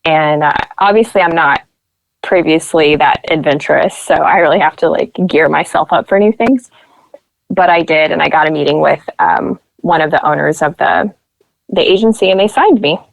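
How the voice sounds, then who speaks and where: A woman's voice: low voice, normal speed, gravelly, dry recording, rough recording. A young woman from Nebraska, a podcast guest, telling stories in casual chat and acting out what people said. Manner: chatty storyteller who acts out quoted voices.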